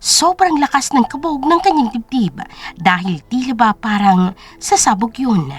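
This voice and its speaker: A woman's voice: fairly high pitch, lively intonation, a little slow, husky. A woman narrator reading a scripted story in a dramatic storytelling style.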